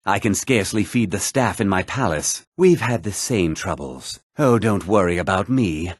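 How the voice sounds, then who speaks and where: A haughty nobleman from a fantasy game, speaking in a fairly low, husky voice. His delivery is pompous and theatrical, with lively swings in pitch and a condescending air. The recording is close and dry. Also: normal speed, dry recording. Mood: smug. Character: haughty, self-important male noble in a fantasy realm. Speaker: video game voice actor. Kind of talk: scripted fantasy game dialogue lines.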